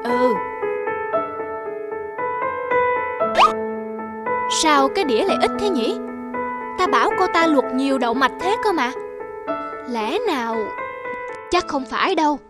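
A woman voicing a stern, suspicious rich male master in an animated fairy tale, bossy and accusing. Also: sits high, moderate pace, loud music behind the voice.

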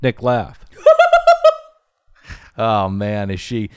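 A man's voice: lowish voice, steady tone, drawn-out words, slight background noise. A man in his thirties hosting a casual comedy recap podcast, telling the story with sardonic, crude jokes. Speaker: podcast host. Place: small living-room podcast studio. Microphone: studio mic on boom arm, headphones.

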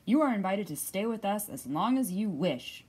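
Lady reading as friendly badger dad from a kids book, husky, grateful and welcoming. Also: medium pitch, big pitch swings, moderate pace, darkish tone. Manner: welcoming, appreciative. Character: hospitable, grateful badger parent in a picture book.

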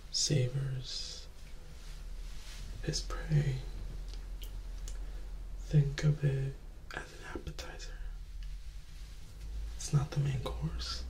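A man in his twenties playing a charismatic, slyly menacing young vampire in a soft-spoken, whispered ASMR roleplay, teasing and hushed. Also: medium pitch, creaky, roomy sound, low-quality recording. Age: 20s. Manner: slow, hushed, teasing, with lingering pauses. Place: small indoor room or hallway, close to camera.

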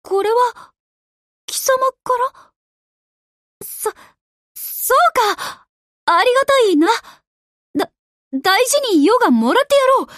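A woman's voice: extremely high pitch, expressive, slow pace, long pauses, a bit bright, no echo, clean studio sound, heavily acted. A woman voicing a haughty, imperious young warship girl in an anime game, with pompous, regal phrasing. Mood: haughty, flustered. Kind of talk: anime game character voice acting.

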